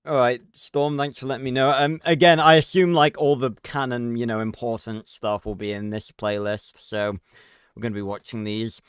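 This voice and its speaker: A man's voice: medium pitch, flat delivery, moderate pace, clean tone, no room sound, clean studio sound. A man giving casual, rambling livestream reaction commentary with frequent swearing. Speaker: reaction streamer.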